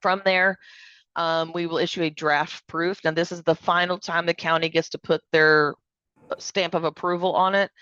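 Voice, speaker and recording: Woman, hoarse, smooth steady delivery, dull call audio. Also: lowish voice, moderate pace, no echo. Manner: explanatory, step-by-step, uses fillers. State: hoarse from allergies. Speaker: code publishing vendor presenting remotely. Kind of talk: remote business presentation. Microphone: video call.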